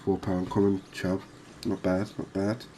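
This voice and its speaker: A man giving quiet, cozy ASMR commentary on a game, unhurried and thinking aloud. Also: sits low, flat delivery, slight background noise. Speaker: gaming video host.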